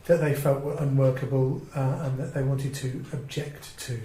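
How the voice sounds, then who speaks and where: A man's voice: mid-range voice, level tone, moderate pace, mellow. An English man chairing a formal council meeting in a polite, procedural and somewhat hesitant way. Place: indoor parish council meeting room. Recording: echoey meeting room, clear background noise.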